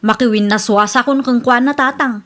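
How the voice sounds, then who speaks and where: A woman's voice: on the high side, steady tone, a little fast, fairly bright tone, close to an everyday voice. A woman acting in an Ilocano radio drama as a fed-up, blunt wife with an Ilocano accent. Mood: frustrated. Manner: exasperated, blunt. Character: fed-up young widow complaining about her lazy second husband.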